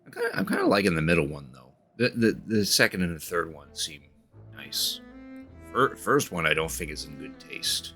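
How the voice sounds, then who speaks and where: A man streaming a game, reading along and commenting casually in a halting way. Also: sits low, huge pitch swings, pushed and bright, soft background music.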